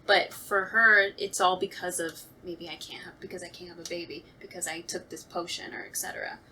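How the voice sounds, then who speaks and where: A woman's voice: on the low side, fairly even intonation, brisk, distant mic, noisy background. A woman in a casual panel discussion, thinking aloud in an informal, tentative way.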